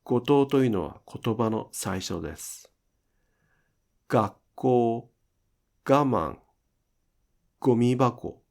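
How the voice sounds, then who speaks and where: Slow-paced male pronunciation teacher, slightly creaky. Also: mid-range voice, animated, a little mellow. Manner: deliberate, careful enunciation with demonstrated example words. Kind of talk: instructional lecture for language learners.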